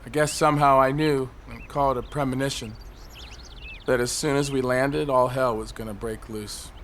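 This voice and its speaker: An actor playing a despairing, agitated man perched on a high ledge in an audio drama, rambling on and given to outbursts. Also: fairly even intonation, moderate pace, lightly acted. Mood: wistful, rambling. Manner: rambling, wistful, bursting into shouts. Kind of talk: audio drama monologue and dialogue. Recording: faint city traffic noise behind.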